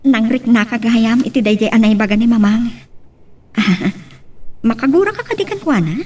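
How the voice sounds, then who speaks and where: A woman's voice: on the high side, animated, normal speed, darkish tone, recorded from a distance. A woman playing an earnest young daughter in love, in a radio-style drama with an Ilocano accent. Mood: worried, earnest.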